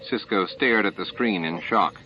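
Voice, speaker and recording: Low-voiced man narrating, muffled. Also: normal speed, sharp tone, slight background noise. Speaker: audiobook narrator. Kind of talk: scripted narration of a science fiction novel. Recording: muffled, band-limited tape audio.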